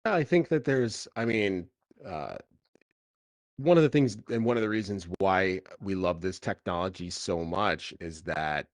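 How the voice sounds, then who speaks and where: A man with a deep voice talks as a tech founder being interviewed. He explains things casually and haltingly, often repeating words as he goes, in a dry recording with very little room sound. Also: no echo. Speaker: tech founder as podcast guest.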